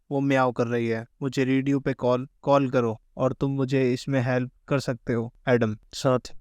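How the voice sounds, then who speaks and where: Smooth Indian man narrating evenly. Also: medium pitch, a little mellow, dry recording. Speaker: YouTube explainer host. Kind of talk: scripted recap narration mixing Hindi and English.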